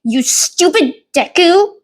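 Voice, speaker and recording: A woman's voice: extremely high pitch, animated, takes it slowly, voice pushed up, exaggerated voice. A woman voicing a rude, defiant boy bully with a curt, scornful manner in a storybook reading. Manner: scoffing, curt.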